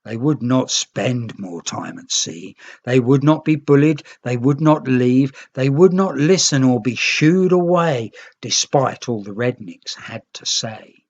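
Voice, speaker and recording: An unhurried storyteller narrates a rhyming picture book for children. The delivery is theatrical and expressive, with a medium pitch. The recording is a little dull and lo-fi. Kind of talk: scripted storybook narration in rhyme.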